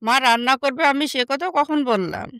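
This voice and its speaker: A woman playing a neglected, soft-hearted young stepsister in an animated moral story, meek and pleading. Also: sits high, a little fast, dry recording. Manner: pleading, submissive.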